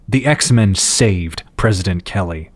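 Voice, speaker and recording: An actor playing a tense, worried young team leader in an audio drama. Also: male voice, low voice, animated, slow pace, full character voice. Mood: firm.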